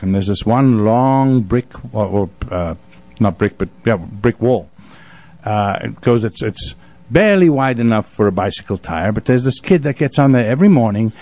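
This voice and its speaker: A middle-aged man from Africa hosting a casual radio interview, telling anecdotes in an expressive, rambling way. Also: medium pitch, no room sound. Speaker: radio show host.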